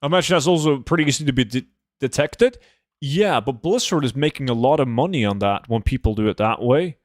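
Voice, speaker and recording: A man's voice: mid-range voice, animated, a little fast, no echo. Blunt man streaming, dry close sound. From Norway. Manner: blunt, swears casually. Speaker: game livestreamer. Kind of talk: casual livestream chat while gaming.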